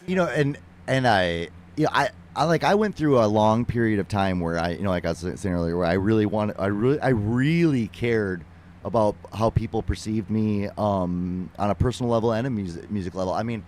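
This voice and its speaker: Halting low-voiced musician guest. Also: male voice, darkish tone, slight background noise. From Minnesota. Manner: halting, many restarts and fillers, modest. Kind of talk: casual interview talk.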